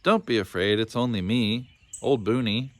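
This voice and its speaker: A man's voice: lowish voice, normal speed, no room sound, lightly acted. A man voicing a cheerful, forgetful old man with a folksy, absent-minded manner in a storybook. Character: cheerful, forgetful old man running a lost-things land. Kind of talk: storybook character voice.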